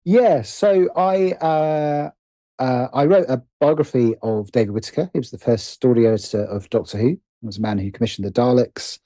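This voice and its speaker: British man, mellow and thoughtful. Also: mid-range voice, moderate pace, dry recording. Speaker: podcast guest, writer and producer. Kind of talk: casual interview conversation.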